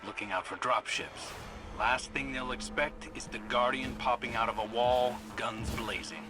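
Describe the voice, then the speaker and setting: A man plays a wry, encouraging strategist in a video game. His mid-pitched voice is colloquial and sure of itself. Music is plainly heard behind him. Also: moderate pace, close to an everyday voice. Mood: wry, confident. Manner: colloquial, confident.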